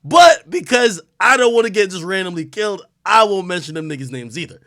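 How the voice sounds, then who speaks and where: A man hosting a live stream, casual and profane, rambling and reacting loudly as he reads things aloud. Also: sits high, animated, brisk.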